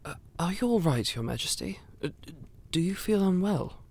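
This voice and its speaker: A man playing a courteous, attentive royal aide in an audio roleplay, with a gentle, formal manner. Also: sits high, animated, normal speed, creaky, husky, heavily acted. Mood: concerned, courteous. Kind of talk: scripted audio roleplay acting.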